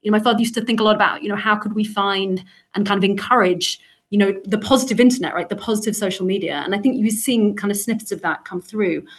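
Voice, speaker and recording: A woman's voice: mid-range voice, fast talker. A woman with a British accent speaking as a podcast guest, giving thoughtful, conversational commentary in a reflective way.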